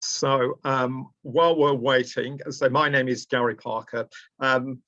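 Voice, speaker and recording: A man's voice: medium pitch, fairly even intonation, measured pace, fairly bright tone, no echo. A middle-aged man with a Lancashire accent presents a live online training session, speaking hesitantly with frequent ums and uhs. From Preston, Lancashire, England. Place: indoors, small room. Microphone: webcam on a video call. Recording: dull lo-fi video call audio.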